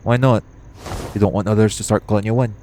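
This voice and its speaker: A man's voice: fairly low pitch, steady tone, fast talker, noisy background, lightly acted. A man playing a cocky, teasing, foul-mouthed hitman in an audio roleplay. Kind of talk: audio roleplay acting addressed to the listener.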